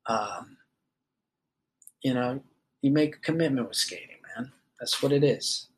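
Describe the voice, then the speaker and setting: A young man in his twenties talks straight to his listeners in a loose, rambling monologue. He swears freely and fills his pauses with "you know" and "um". His voice sits at a middling pitch. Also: a little hiss or hum, rough recording. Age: 20s. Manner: loose and rambling, swears often, many fillers. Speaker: podcast host. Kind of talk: casual monologue.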